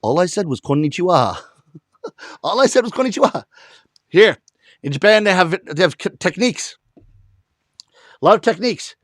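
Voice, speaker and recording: A man who is a comedic storyteller, talking to the camera in an animated way and chuckling at his own jokes. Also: high-pitched, brisk, pauses often, dry recording, clean studio sound. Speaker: rakugo storyteller.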